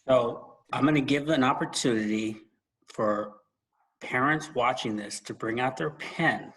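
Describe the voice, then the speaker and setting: Male host, mid-range voice, conversational but emphatic, using deliberate dramatic pauses. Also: mid-range voice, fairly bright tone, rough recording. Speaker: interview host. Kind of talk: conversational interview.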